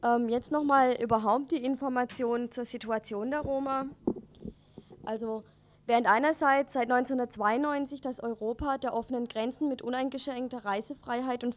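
A woman presenting radio news, reading a scripted report. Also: on the high side.

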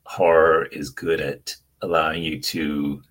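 A man's voice: rumbling low, flat delivery, takes it slowly, a bit bright. A man with an American accent giving informal, thoughtful interview answers over a video call. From United States. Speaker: author interviewed about horror films.

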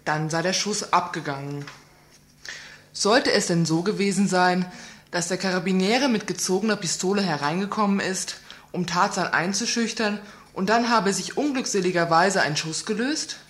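A woman's voice: on the low side, normal speed, fairly bright tone. A woman reading a scripted report on a community radio news program, asking pointed rhetorical questions.

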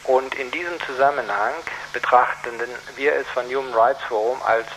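A man's voice: mid-range voice, fairly even intonation, moderate pace, fairly bright tone, rough recording. A man speaking as a human rights spokesman, making a formal, emphatic, articulate statement. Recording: clear background noise.

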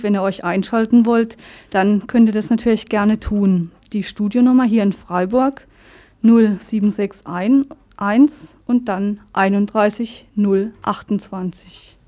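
A woman's voice: medium pitch, dark tone. A woman presenting scripted local news on the radio as the programme's host.

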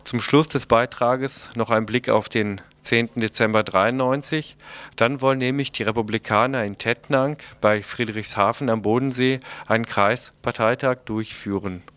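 A man's voice: mid-range voice, steady tone, moderate pace, fairly bright tone, smooth, no echo. A man reading a news report as a radio presenter, in a plain delivery with the occasional stumble. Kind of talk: read news report on a local left-wing radio show.

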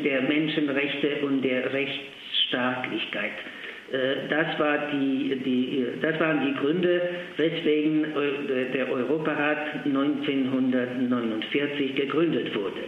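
Man, halting and full of uhs, tinny phone line, heavy echo. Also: a little high, fairly even intonation, slight background noise. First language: Polish. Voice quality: halting, many filled pauses. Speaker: interview guest, language centre official. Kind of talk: phone interview. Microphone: telephone.

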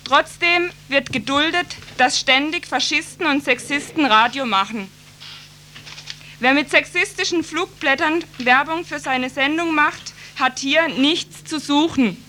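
Bright, high, emphatic woman. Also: a little slow. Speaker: activist making an announcement on radio. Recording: noisy live studio tape.

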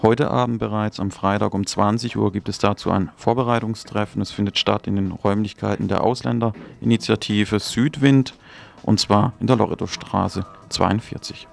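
A man presenting local radio, giving event announcements in a matter-of-fact, deliberate way. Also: on the low side, fairly even intonation, gravelly.

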